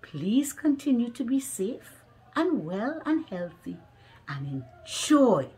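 A middle-aged woman reading a children's story aloud in a deliberate, expressive way, slightly muffled by a face mask. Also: fairly high pitch. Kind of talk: children's picture book read-aloud. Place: small living room at home, indoors.

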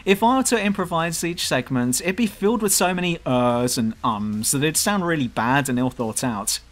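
A southern English man reads his scripted commentary in a dry, self-mocking way. His voice is on the higher side for a man, and his pitch jumps about a great deal. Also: lively intonation, normal speed, fairly bright tone. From southern England. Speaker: YouTube gaming channel host. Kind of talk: scripted commentary over gameplay.

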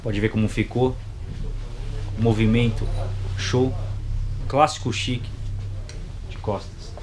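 A man's voice: mid-range voice, fairly even intonation, slow pace. A young man with a Brazilian accent giving a casual, slangy product review in short clipped phrases. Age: young adult. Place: indoors in a motorcycle gear shop. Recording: clear background noise, shop ambience.

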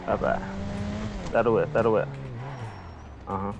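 A man's voice: fairly low pitch, expressive, slow, gravelly. American man on muffled game voice chat. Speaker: online game player. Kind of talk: casual slangy role-play chat. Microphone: online game voice chat. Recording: dull voice chat, faint car noise.

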